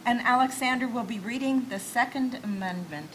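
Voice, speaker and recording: Female event introducer, highish voice, formal delivery, slight background noise. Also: normal speed, fairly bright tone, rough recording.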